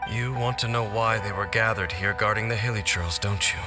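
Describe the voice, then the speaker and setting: A solemn, deliberate knight from a fallen kingdom, played by a man in a game cutscene. His voice sits a bit low and every line is measured and formal. The sound carries a long echo over steady music. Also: close to an everyday voice. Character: grave ancient knight, measured and mournful. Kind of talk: video game cutscene voice acting.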